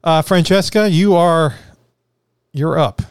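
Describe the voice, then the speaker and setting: A man's voice: fairly high pitch, takes it slowly, smooth, no room sound, clean studio sound. A man hosting a casual podcast panel, joking and self-deprecating as he introduces his guests. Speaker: podcast host.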